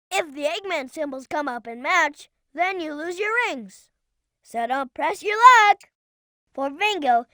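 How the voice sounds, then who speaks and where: A performer voicing a young boy fox sidekick in a video game, childlike, earnest and excitable, eager to explain things. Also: high voice, no room sound, clean studio sound, exaggerated voice. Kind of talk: video game character voice acting.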